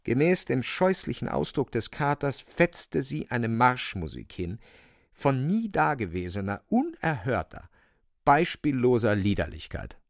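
A man's voice: mid-range voice, very animated, a little slow, vocal fry, no room sound, studio quality. A man narrating a radio drama in a dramatic, wry style, relishing emphatic wording.

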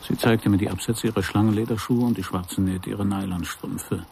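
Low, gravelly hard-boiled detective. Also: male voice, steady tone, fairly quick, noisy background. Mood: wry, atmospheric. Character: wry, hard-boiled male private detective narrating his case. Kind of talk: scripted first-person noir radio play narration.

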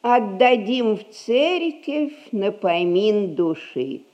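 Slow, imperious old merchant grandmother. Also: mid-range voice, very animated, a little mellow, lo-fi sound. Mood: imperious, unhurried. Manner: imperious, measured, folksy old-fashioned merchant speech. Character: stern, rich old merchant-class grandmother, unyielding household ruler. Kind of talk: Russian stage comedy recorded as radio play.

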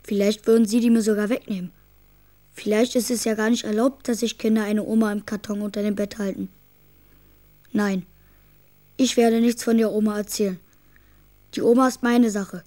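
A woman's voice: medium pitch, level tone, normal speed, pauses often, dark tone, natural voice. A woman playing an imaginative, secretive and stubborn little schoolboy in a children's radio play, cheeky and musing in manner. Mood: resentful, determined.

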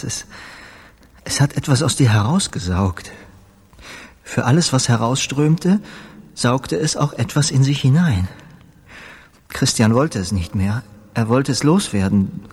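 Radio play casting: devout, unhinged, remorseful musician, breathy husky tone, halting delivery, reverberant space. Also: male voice, medium pitch, lively intonation, darkish tone. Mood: haunted, remorseful. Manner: halting, repetitive, murmuring prayer-like delivery. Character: guilt-ridden, devout, unhinged male musician confessing murder. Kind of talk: German radio drama acting. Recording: roomy space with long reverb.

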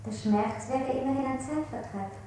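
An actress playing a cold, cruel tormentor in a stylised radio play. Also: female voice, sits high, moderate pace, mellow, lightly acted. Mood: coldly menacing. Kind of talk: stylised 1960s German radio play acting. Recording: echoey, distant, faint hiss.

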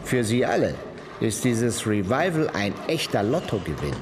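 An actor playing a talkative, cynical musical producer in a radio crime drama, telling things expansively. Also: male voice, lowish voice, big pitch swings, moderate pace, noisy background. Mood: storytelling.

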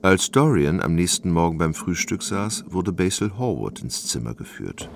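Very deep, creaky male narrator. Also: sing-song, moderate pace, noisy background. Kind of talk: scripted literary narration for a radio play. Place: dry studio.

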